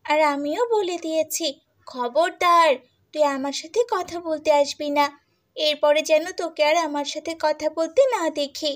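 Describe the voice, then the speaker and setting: Lady doing a snooty spoiled cartoon girl, high voice, whiny and spiteful. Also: steady tone, a little slow. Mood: indignant. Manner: whiny, sneering, tattling. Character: spoiled, haughty young stepsister, spiteful daughter. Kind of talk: Bengali cartoon dubbing.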